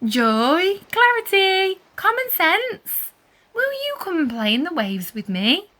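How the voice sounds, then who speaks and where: Storybook role: eager little girl, persistent, high and bright, calling out, performed by a woman. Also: big pitch swings, takes it slowly, full character voice. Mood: pleading.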